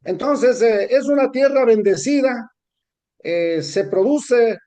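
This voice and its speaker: A man with an Ecuadorian accent, answering interview questions in a measured, explanatory way with frequent hesitations. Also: high-pitched, dark tone. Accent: Ecuadorian Spanish. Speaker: interview guest.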